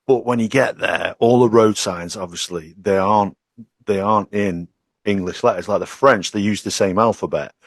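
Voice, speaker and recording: A man's voice: lowish voice, moderate pace, no echo, studio quality. A man, a podcast guest, telling self-deprecating travel anecdotes in casual chat, often restarting his sentences.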